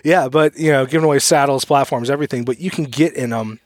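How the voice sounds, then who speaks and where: A man in his mid-thirties talks into a studio microphone in a dry, close-sounding room. He jokes, laughs a lot and tells stories with animated energy. His pitch sits in a middle range. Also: quick pace, no room sound. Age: 30s. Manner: joking, laughs often, animated storytelling. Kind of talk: casual podcast chat.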